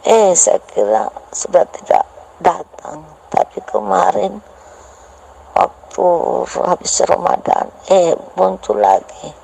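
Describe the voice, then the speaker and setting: A woman's voice: fairly low pitch, big pitch swings, slow, vocal fry, dark tone, husky, rough recording. A woman in a voice message, hesitantly telling a teacher about her personal experiences and asking for advice.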